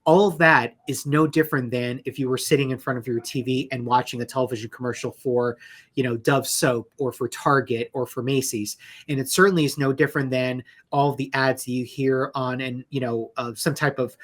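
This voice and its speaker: Male legal expert for an interview, mid-pitched voice, conversational and measured, stressing points of caution. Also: fairly even intonation. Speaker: lawyer, interview guest.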